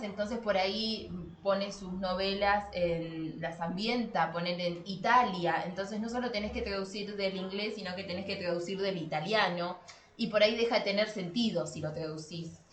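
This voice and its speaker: Argentine woman in an echoey room. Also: medium pitch. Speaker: podcast host. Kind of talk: casual podcast discussion about translation. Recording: echoey room with faint noise.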